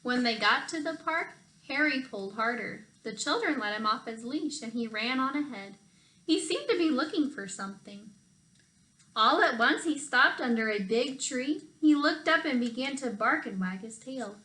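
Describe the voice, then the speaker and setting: A woman reads a children's storybook aloud. Her voice is fairly high and very bright, and she shapes each phrase expressively for young listeners. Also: expressive, moderate pace, poor audio. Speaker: storytime reader for children, teacher. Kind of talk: animated picture-book read-aloud.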